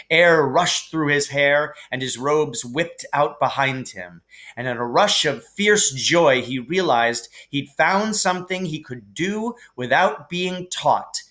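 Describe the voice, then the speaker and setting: Guy reading a kids book out loud, slow pace, bright forceful voice, expressive storytelling. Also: on the high side. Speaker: teacher reading aloud.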